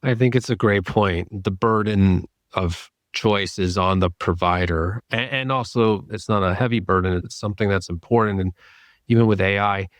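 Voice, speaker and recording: A man speaking as a podcast guest, giving measured, thoughtful answers and repeating points for emphasis. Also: on the low side, no echo, clean studio sound. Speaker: podcast guest, psychedelic therapy clinician. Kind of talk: reflective interview talk.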